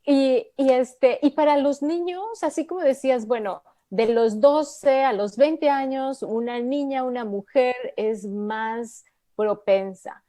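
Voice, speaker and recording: A woman hosts a live radio interview with a mellow, warm voice that sits slightly high. She asks her questions and keeps affirming her guest with short interjections. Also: takes it slowly. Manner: supportive, with frequent backchannel affirmations. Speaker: radio host.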